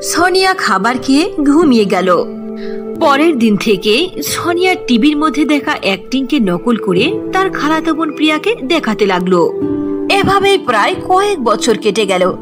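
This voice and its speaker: A woman's voice: sits high, sing-song, normal speed. A woman narrating a scripted children's fairy tale as storyteller. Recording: clear music under the voice.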